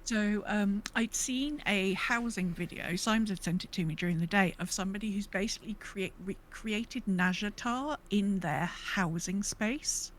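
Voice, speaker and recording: A woman chatting casually as a podcast host, telling stories in an animated way and acting out what people said. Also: mid-range voice, normal speed, hardly any pauses, a little hiss or hum. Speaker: veterinary clinic worker. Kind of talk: casual chat.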